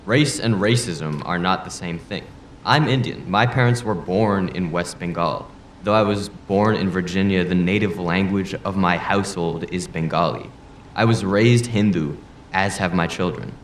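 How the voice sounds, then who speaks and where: A deep-voiced male politician played by a man argues his case in a formal debate. He is proud, blunt and earnest, laying out each point deliberately. The room echoes around him, with faint noise behind. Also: close to an everyday voice. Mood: earnest, resolute. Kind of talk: audio drama acting, formal debate rhetoric. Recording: roomy echo, faint background noise.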